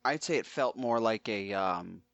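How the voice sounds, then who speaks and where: A man's voice: fairly low pitch, animated, measured pace, gravelly, a bit bright, dry recording, dull audio. A man co-hosting a podcast in a loose, casual chat style. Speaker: podcast co-host.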